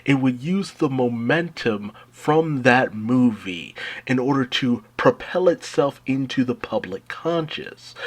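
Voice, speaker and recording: A young adult man giving an enthusiastic retrospective commentary as a fan host, gushing and leaning into words with theatrical emphasis. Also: medium pitch. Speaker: video essay host and animation fan.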